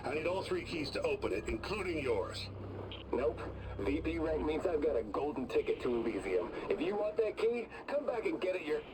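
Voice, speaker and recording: Self-important company man, taunting, raised pitch, lively intonation, game audio log, clear background noise. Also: moderate pace. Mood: smug, taunting. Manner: cocky, taunting. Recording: noisy recorded log with background ambience.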